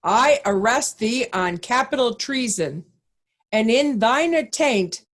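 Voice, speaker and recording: A woman playing a stern, righteous duke in Shakespearean reader's theatre, with a measured, formal and commanding delivery. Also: on the high side, lively intonation. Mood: accusing, resolute. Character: stern, righteous Duke of Albany, nobleman commanding victorious army.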